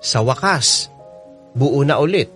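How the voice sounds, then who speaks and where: A man's voice: mid-range voice, animated, takes it slowly, fairly bright tone. A man narrating a listener's letter as a scripted, first-person radio drama story. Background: music bed.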